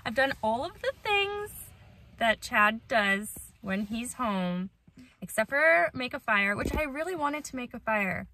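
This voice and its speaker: A woman's voice: fairly high pitch, very animated, a little slow, pushed and bright, low-quality recording. A woman in her thirties chatting casually and playfully to a handheld camera about her day, with self-deprecating humor. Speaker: family vlogger, mother. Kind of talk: casual chat to camera about daily chores. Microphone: camera or phone at arm's length. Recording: handheld recording with clear background noise.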